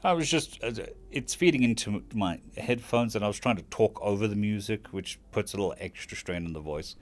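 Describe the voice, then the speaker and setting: A man's voice: lowish voice, brisk. A man from South Africa with a South African accent tinged with British tones, chatting casually on a stream and thanking viewers by name. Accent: South African English with British tones.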